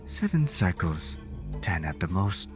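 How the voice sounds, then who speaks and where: Casting: sinister handler, low voice, measured and quietly threatening, sci-fi audio drama, music underneath. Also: male voice, big pitch swings. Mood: cool, businesslike. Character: coolly menacing male agent of a secret benefactor.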